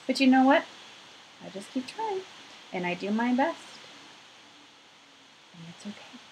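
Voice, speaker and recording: A woman's voice: high voice, sing-song, a little slow, darkish tone, slight background noise, rough recording. A woman in her thirties leading a craft activity for young children, talking to them directly in an encouraging way, recorded on a webcam at home. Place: small furnished room at home, indoors.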